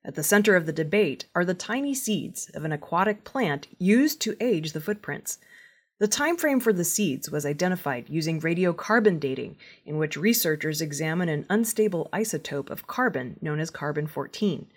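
A woman presents scripted news in a measured, formal way. Her voice sits a little low for a woman and has a husky edge. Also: expressive. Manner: measured, formal newsreader delivery.

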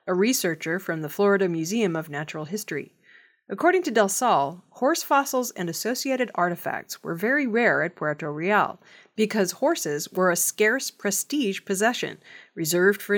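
A woman reads scripted news as a presenter in a measured, formal, clearly enunciated way. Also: mid-range voice.

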